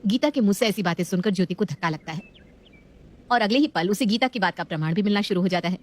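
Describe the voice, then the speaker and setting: A woman narrates a scripted domestic story at a quick clip. Her voice sits at an ordinary middle pitch for a woman as she tells the tale. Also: darkish tone.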